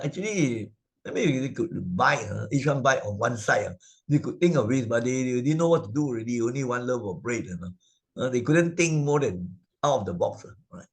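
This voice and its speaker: A man's voice: medium pitch, vocal fry. A man with a Malaysian English accent, a Sunday school teacher explaining the lessons of a children's story in a chatty way, with many fillers and tag questions. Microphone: video call presentation mic.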